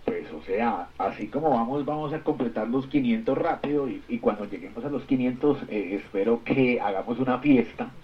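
A man with a Colombian accent chats about sport on the radio through dull, lo-fi audio. His voice sits at an ordinary pitch. He speaks haltingly, doubling back on words as he searches for the next one. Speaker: radio show co-host. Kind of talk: casual sports chat.

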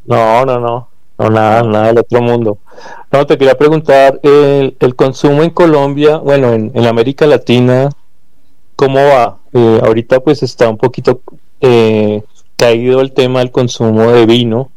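A man asking a relaxed question during an interview conversation. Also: mid-range voice, normal speed, clean tone, no echo, low-quality recording. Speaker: guest questioner.

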